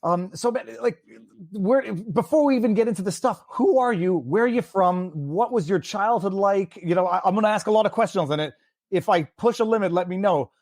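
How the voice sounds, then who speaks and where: A man's voice: high-pitched, fairly quick, a little mellow, no echo, studio quality. Chatty Quebec-raised man hosting a stream. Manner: chatty, rambling, asks many questions. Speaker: host. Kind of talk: livestream interview.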